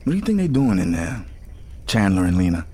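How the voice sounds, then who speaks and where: A man's voice: low voice, very animated, fairly quick, dark tone, breathy edge, heavily acted. A man playing an earnest guitar-playing sidekick in an audio drama, reflective and a bit rambling. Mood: earnest, unsure. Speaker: actor in audio fiction. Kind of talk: serialized audio drama acting. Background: plucked guitar music.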